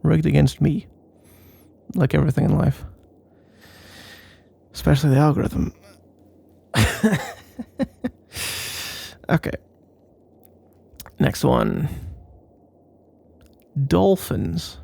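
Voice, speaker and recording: A man's voice: a little high, lively intonation, extremely slow, vocal fry, pauses often, mellow, husky. A man doing a casual, rambling ASMR boyfriend roleplay in a playful, mock-boastful and meandering way.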